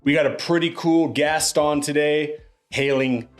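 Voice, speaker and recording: A man's voice: a little high, steady tone, a little slow. A young man hosting a podcast in an upbeat, laid-back, chatty way. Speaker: podcast host.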